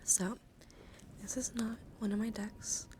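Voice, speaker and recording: A woman streaming ASMR in a soft, breathy whisper, speaking unhurriedly and intimately close to the microphone. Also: medium pitch, level tone, takes it slowly. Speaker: livestream host. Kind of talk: whispered ASMR livestream talk. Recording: close whisper with clear background noise.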